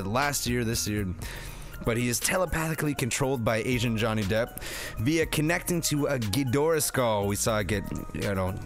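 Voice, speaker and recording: A man's voice: medium pitch, a bit bright. Sarcastic male movie-review host, faint music. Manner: slangy, sarcastic. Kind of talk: jokey, profane scripted film recap with banter.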